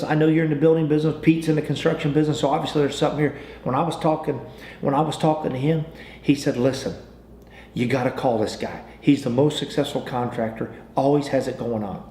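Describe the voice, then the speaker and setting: A roomy, echoing recording with slight noise carries an American man's voice at an average male pitch. He talks like a folksy salesman coaching others, informal and pitch-ready. He often repeats a phrase or restarts it. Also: mellow. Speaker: sales trainer. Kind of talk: informal training talk with acted example phone calls.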